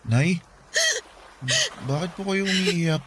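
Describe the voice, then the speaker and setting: A high-voiced, earnest teenage son. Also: male voice, slow pace, noisy background. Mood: worried, hesitant. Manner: polite, hesitant, earnest. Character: dutiful, anxious teenage child of a poor family. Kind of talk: Filipino radio drama acting.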